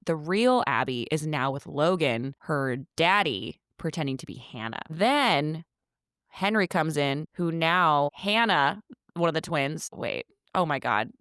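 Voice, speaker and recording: A woman co-hosting a podcast, chatting casually as she recaps a movie, with quick asides and restarted sentences. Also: low voice, a bit bright, clear, resonant tone, no room sound, studio quality. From United States. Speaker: podcast co-host.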